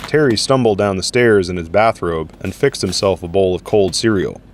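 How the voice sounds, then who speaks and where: A man's voice: sits low, normal speed, slight background noise. A man giving scripted story narration as the narrator of an audio drama.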